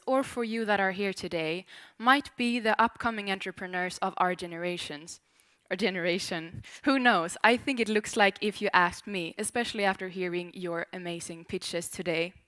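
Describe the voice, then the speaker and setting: A woman's voice: medium pitch, pushed and bright, smooth, no echo. A young woman hosting a student event on stage with a handheld mic, chatty and a little self-deprecating. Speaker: event host. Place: university auditorium stage.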